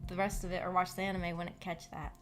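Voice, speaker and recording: A woman hosting a book club livestream, chatting casually and haltingly with her audience, often giggly. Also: fairly low pitch, flat delivery, fairly bright tone, soft background music. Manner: giggly, breaks into small laughs often. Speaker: host of a visual novel book club stream. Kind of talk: casual livestream discussion with chat.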